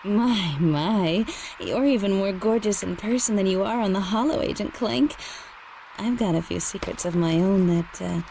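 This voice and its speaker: A woman playing a sultry, scheming robot pop starlet in a video game, coy and flirtatious with a creaky voice. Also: medium pitch, normal speed, dark tone, slight background noise, lightly acted, rough recording. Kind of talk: video game cutscene acting.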